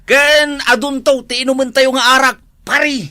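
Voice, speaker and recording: Man acting a piercingly bright, jolly drinking buddy. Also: extremely high pitch, fairly even intonation, a little slow, dry recording, clean studio sound, exaggerated voice. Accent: northern Philippine. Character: jolly, boisterous hard-drinking village birthday boy. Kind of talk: comedy drama acting.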